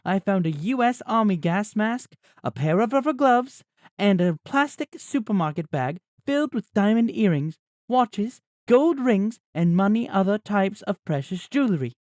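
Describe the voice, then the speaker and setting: A man voicing a formal, composed mother in an audiobook, speaking in an even, official manner. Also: mid-range voice, moderate pace, dry recording, clean studio sound, voice pushed up, lightly acted. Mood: formal, grave. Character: formal, composed mother addressing wronged neighbors. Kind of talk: audiobook character voice.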